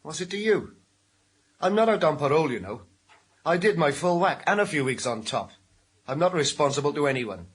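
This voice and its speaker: An actor in a radio crime drama playing a defiant, cagey, middle-aged ex-convict, slangy and defensive. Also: male voice, on the high side, expressive, a little fast, slightly rough. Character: defiant, cagey male ex-convict, street-hardened armed robber.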